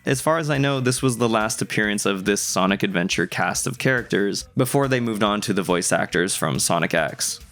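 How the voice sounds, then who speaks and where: Low-voiced young man narrating a video essay. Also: lively intonation, fairly quick, few pauses, fairly bright tone, faint music behind. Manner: conversational, casually enthusiastic. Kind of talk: scripted video essay narration with casual asides.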